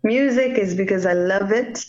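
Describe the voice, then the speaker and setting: A woman's voice: medium pitch, moderate pace, muffled recording, noisy background. A woman from Kenya, a reggae singer, talking earnestly about her music in a casual radio interview, in short repeated phrases. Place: radio call-in.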